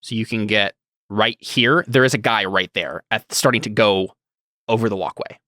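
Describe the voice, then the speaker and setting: A man's voice: on the low side, moderate pace, pushed and bright, no echo, studio quality. Young man running a tabletop game. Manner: casual, thinks aloud, reads rules text. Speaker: game master.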